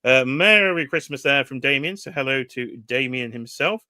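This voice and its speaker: Bright-voiced British man hosting a toy livestream. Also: medium pitch, expressive, moderate pace, dry recording, studio quality. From United Kingdom. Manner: chatty, teasing, reads chat names aloud. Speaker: livestream host. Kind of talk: casual livestream chat.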